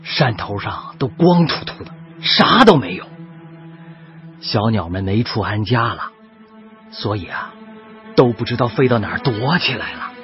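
An actor playing a tender, sorrowful father telling his child of the past in a gentle, emotive radio drama style. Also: on the low side, big pitch swings, a little slow, pauses often. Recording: muffled lo-fi broadcast with music behind.

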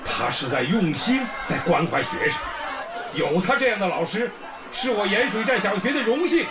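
An actor playing a village leader who gives a hearty, rallying speech at a feast. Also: male voice, high voice, big pitch swings, normal speed, background noise. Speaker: village leader raising a toast. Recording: festive crowd and music behind.

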